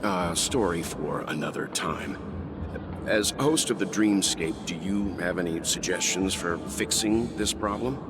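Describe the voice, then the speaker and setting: Composed mature mentor. Low, measured, authoritative; heavy score behind. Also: male voice. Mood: calm. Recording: loud game music behind.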